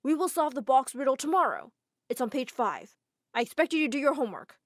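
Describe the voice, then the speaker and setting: A woman's voice: high-pitched, big pitch swings, brisk, no room sound, clean studio sound. A woman voicing an oblivious young male teacher with a formal manner. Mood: cheery.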